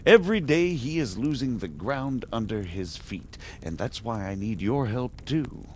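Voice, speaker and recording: A man plays a scheming medieval margrave in a game drama. His pitch swings widely as he argues with aristocratic, rhetorical emphasis, over a faint low rumble. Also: expressive, measured pace, darkish tone, lightly acted. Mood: measured persuasion. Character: shrewd scheming medieval margrave, persuasive and impatient. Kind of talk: dramatic game cutscene acting.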